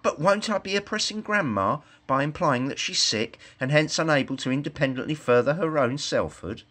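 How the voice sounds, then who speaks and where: A man's voice: on the high side, lively intonation, moderate pace, sharp tone, pitched above the performer's own voice. A man voicing an earnest, self-assured little girl in a storybook, lecturing in formal, indignant phrases.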